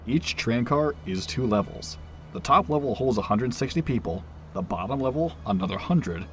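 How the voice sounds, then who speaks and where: A man's voice: medium pitch, animated, normal speed, slight background noise, natural voice. A man playing a friendly, chatty train attendant in an audio drama, with a casual, teasing manner. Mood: matter-of-fact. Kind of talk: naturalistic audio drama acting.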